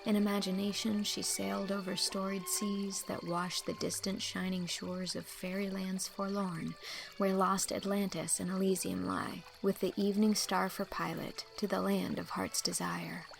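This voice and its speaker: A woman narrates an audiobook in a scripted, literary style. Her mid-range voice has a noticeable creak, with faint music behind it. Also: mid-range voice, flat delivery, moderate pace.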